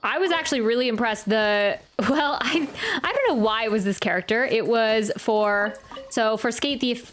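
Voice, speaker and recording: A woman with a slightly high voice gives a casual gameplay reaction. She rambles, stammers and thinks aloud, with faint game music behind her. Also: moderate pace. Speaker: game streamer.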